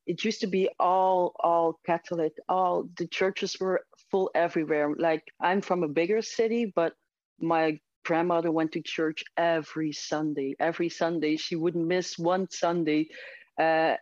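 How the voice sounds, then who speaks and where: A woman's voice: sits low, a little mellow, dry recording. A woman from Belgium, a Dutch speaker, answering interview questions in English, hesitant with many fillers. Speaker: interview guest. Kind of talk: casual video-call interview. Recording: dull lo-fi sound.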